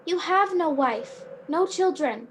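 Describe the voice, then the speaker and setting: A woman playing a gentle, dutiful guardian angel in an amateur radio play. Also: sits high, lively intonation, slow pace, a little hiss or hum, rough recording. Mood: solemn.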